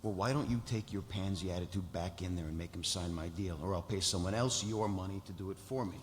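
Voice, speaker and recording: Low-voiced bullying businessman played by a man. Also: fast, natural voice. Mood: irritated, demanding. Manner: blunt, aggrieved. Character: angry, demanding male corporate client, foul-mouthed and bullying. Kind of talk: live script read. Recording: echoey hall, faint background noise.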